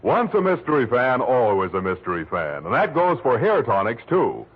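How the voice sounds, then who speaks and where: A man announcing and pitching a sponsor in polished, emphatic 1940s radio style. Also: big pitch swings, normal speed, husky. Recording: muffled vintage radio broadcast with music.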